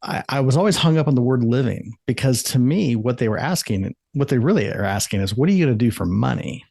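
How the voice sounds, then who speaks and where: A man's voice: medium pitch, lively intonation, fast, creaky, darkish tone, dry recording, clean studio sound. A middle-aged man from the United States, an author and life coach, answering interview questions in a thoughtful, earnest way with frequent restarts.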